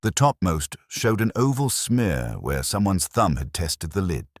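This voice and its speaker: A man narrating a literary mystery story in a measured, wry, formal manner. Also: low-pitched, big pitch swings, dry recording, clean studio sound.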